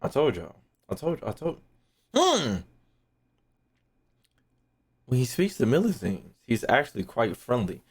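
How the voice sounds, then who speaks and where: A man's voice: mid-range voice, wildly swooping pitch, moderate pace, slightly rough. A young man giving casual, slangy live-stream commentary, swearing freely and repeating phrases as he thinks aloud. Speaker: streamer reacting to game voice lines.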